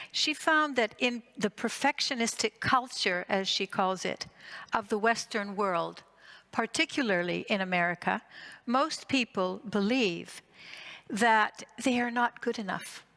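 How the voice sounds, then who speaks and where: Measured woman in her sixties, lecturing. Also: mid-range voice, bright, forceful tone. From Greece and Canada. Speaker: psychotherapist giving a conference talk. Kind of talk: prepared conference lecture with personal story.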